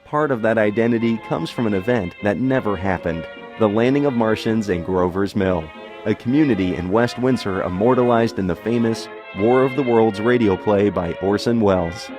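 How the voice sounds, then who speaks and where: A man giving scripted news-feature narration, even and smooth. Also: lowish voice, normal speed, over music.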